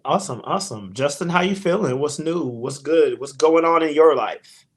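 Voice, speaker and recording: A man's voice: a little high, expressive. Chatty guy hosting a podcast, hyped, plugging socials and checking in on everyone. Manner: upbeat, promotional, quick asides. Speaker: podcast host.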